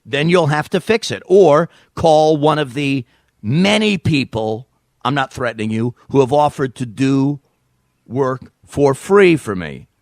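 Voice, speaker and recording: A man's voice: medium pitch, unhurried, dry recording, studio quality. A middle-aged man hosting a podcast, telling stories in a casual chat and acting out the voices of the people in them. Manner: animated storyteller, acts out quotes. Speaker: podcast host.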